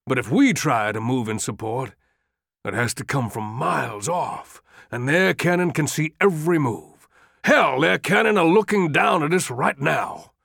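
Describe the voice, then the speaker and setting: A weary general presses his case earnestly and with insistence, as a male narrator voices him. He speaks slowly. The voice is close and dry. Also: medium pitch, animated, dry recording. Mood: urgent.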